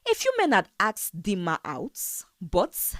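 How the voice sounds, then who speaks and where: A woman's voice: medium pitch, huge pitch swings, measured pace, fairly bright tone, no room sound, clean studio sound. A woman narrating a scripted folktale as a storyteller.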